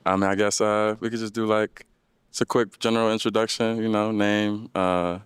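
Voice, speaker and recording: A man's voice: fairly low pitch, flat delivery, normal speed, smooth, no room sound. A man in his thirties, a dating show contestant, answers questions hesitantly with many ums and uhs. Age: 30s.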